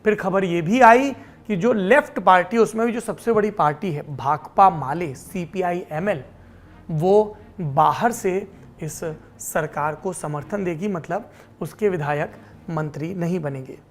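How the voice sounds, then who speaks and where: A woman presenting explanatory political news commentary as a news presenter. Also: fairly low pitch.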